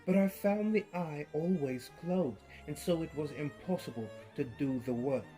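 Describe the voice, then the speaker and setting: A man giving a dramatic horror story reading, swinging between hushed murmurs and sudden loud outbursts, with an unhinged edge and creepy laughs. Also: fairly high pitch, moderate pace. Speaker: narrator. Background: faint violin music.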